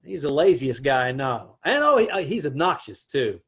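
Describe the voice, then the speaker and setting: A man's voice: medium pitch, sing-song, moderate pace, a bit bright, slightly rough. A man narrating and playing a dry, joking husband in an old-time radio comedy recreation. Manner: dry wry delivery with chuckles. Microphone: home mic on video call.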